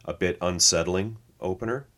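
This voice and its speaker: Very low male voice, casual podcast co-host, relaxed, reads from notes, laughs easily. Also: moderate pace.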